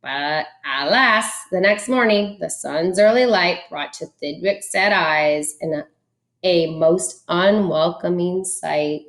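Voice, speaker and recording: A woman's voice: fairly low pitch, slow pace, a bit bright. A woman reading a children's storybook aloud expressively, like a schoolteacher.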